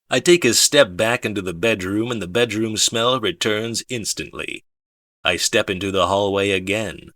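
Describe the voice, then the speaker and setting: A male audiobook narrator with a low voice and a bright, focused edge. He reads first-person fiction slowly and with understatement, in a very dry, close recording. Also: no echo.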